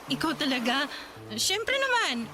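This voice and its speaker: A woman's voice: high-pitched, sing-song, measured pace, noisy background. A woman acting a coy, romantic young woman in a Filipino radio drama, with a playful, conversational manner and a Filipino accent. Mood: coy, teasing.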